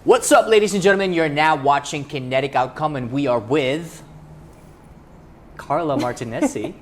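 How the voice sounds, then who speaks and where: A young adult man hosting an interview show, upbeat in his intro and softer as he asks questions. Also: a little high, moderate pace. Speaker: podcast host.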